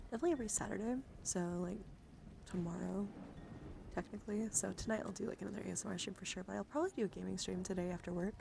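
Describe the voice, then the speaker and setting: A woman with a medium-pitched, noticeably creaky voice hosts a casual ASMR stream. She is playful and easily sidetracked, moving between soft talk and hushed whispering close to the microphone. Clear noise sits behind her voice. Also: racing. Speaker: live streamer hosting an ASMR card stream. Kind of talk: ASMR and casual chat to viewers. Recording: clearly audible background noise.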